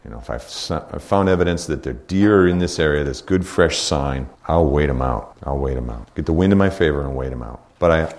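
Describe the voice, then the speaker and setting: Very deep-voiced older man, folksy. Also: a little mellow. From New Hampshire. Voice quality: low voice. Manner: folksy, rambling, repeats words and restarts. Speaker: hunting seminar speaker. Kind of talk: casual seminar talk answering questions.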